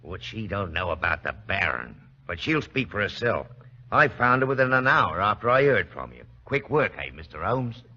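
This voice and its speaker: An actor playing a rough, beefy informer in 1940s radio drama, gruff but obliging. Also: male voice, sits low, sing-song, normal speed, pushed and bright, heavily acted. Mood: gruff pride. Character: rough, beefy red-faced Cockney male informer, obliging. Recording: dull, lo-fi old radio recording.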